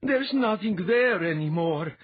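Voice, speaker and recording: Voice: medium pitch, very animated, normal speed, husky, dry recording, phone-quality sound, pitched above the performer's own voice. A reader doing a nervous, fervent girl. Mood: shaken.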